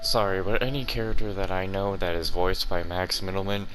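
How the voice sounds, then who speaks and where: A man's voice: low-pitched, steady tone, brisk. A man giving casual, joking commentary over a video game playthrough in an offhand way. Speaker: gaming host. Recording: game music behind voice.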